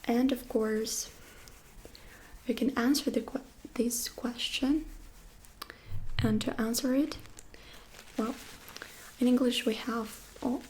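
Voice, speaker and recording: Slow whispering woman teaching phrases. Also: on the high side, steady tone, slight background noise, low-quality recording. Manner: soft whisper, slow repetitions. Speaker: language teacher. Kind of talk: whispered ASMR lesson.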